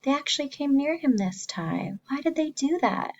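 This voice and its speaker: A woman teacher reading a story to young children, expressively, pausing to explain simply and ask the listeners questions. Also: high-pitched, lively intonation, dull audio, a little hiss or hum.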